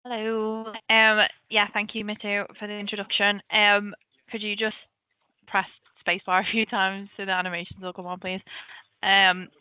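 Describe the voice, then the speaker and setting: A woman in her twenties with a Liverpool accent, a government analyst giving a chatty, informal career talk at a quick clip. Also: medium pitch, flat delivery, pushed and bright, clean tone, no room sound, highs cut off. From Liverpool, England. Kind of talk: casual webinar presentation.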